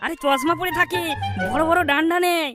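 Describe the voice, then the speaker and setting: A woman's voice: very high-pitched, fairly even intonation, brisk, over music. A woman voicing a cheeky, crude young village lad in a cartoon, brash and defiant. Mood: excited. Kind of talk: exaggerated cartoon dialogue.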